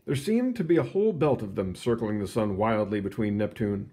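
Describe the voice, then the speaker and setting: Expressive man reading aloud, very animated intonation. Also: fairly low pitch, fairly quick, husky. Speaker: read-aloud narrator. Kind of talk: reading a children's science book aloud, with short asides.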